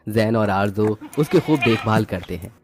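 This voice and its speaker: A man's voice: on the low side, animated, normal speed, noisy background. A man reading scripted narration for a moral story.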